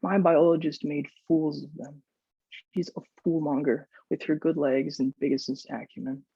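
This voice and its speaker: Youngish guy reading poetry, husky voice, boxy echoey call audio. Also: fairly high pitch, level tone, normal speed, dull audio. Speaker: poet.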